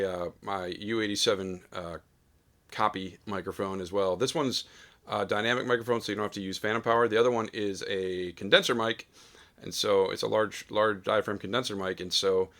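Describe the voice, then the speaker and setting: A man recording a casual vlog in his home studio, talking into a studio mic with a big, loud voice and rambling with lots of fillers. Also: on the low side. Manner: rambling, self-deprecating, full of fillers. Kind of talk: casual vlog talk between sung takes. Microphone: studio dynamic mic.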